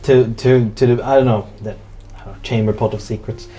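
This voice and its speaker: A game master improvising narration for a tabletop roleplay in a halting, hesitant way. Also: mid-range voice, fairly even intonation, music in the background.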